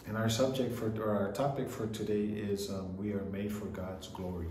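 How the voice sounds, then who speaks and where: Low-voiced pastor in an echoey room. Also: male voice, level tone, normal speed, a little mellow. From Samoa. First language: Samoan. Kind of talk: bilingual online church service address and sermon. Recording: roomy echo with faint background noise.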